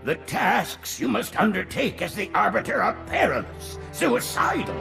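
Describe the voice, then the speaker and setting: An actor playing a haughty, zealous alien prophet, theatrical and formal, in a cinematic video game. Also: male voice, high voice, wildly swooping pitch, normal speed, gravelly, music in the background, exaggerated voice. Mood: ominous, disdainful.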